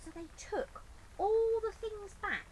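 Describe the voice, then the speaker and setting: A woman's voice: very high voice, expressive, takes it slowly, darkish tone, slight background noise, low-quality recording. A woman reading a picture book aloud expressively, adding chatty asides to young listeners. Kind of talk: expressive children's story reading.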